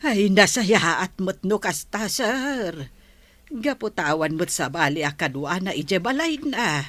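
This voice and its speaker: An actress in a radio drama playing an anxious, deferential household helper who talks hesitantly, as if guarding a secret. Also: female voice, fairly low pitch, animated, fairly bright tone, no echo. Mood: nervous, deferential. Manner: hesitant, halting.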